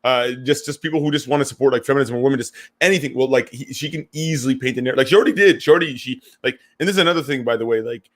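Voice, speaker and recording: A young man from Syria co-hosting a casual podcast chat, talking in quick bursts with lots of stammered restarts. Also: medium pitch. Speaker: podcast co-host.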